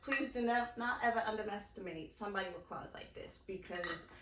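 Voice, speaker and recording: A woman's voice: mid-range voice, fairly quick, noisy background. A young American woman co-hosting a podcast in casual chat, playful and laughing often, talking to listeners as y'all. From United States. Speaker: podcast co-host. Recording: roomy sound from a distant mic.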